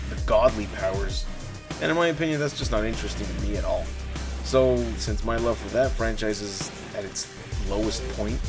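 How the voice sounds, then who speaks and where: Deep-voiced man narrating over music. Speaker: comic creator narrating own video. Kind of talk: scripted narration and channel talk.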